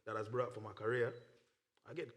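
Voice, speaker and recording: A man's voice: mid-range voice, fairly even intonation, fairly quick. A Jamaican man, a dancehall artist, chatting casually in an interview in slangy, emphatic talk peppered with "you know".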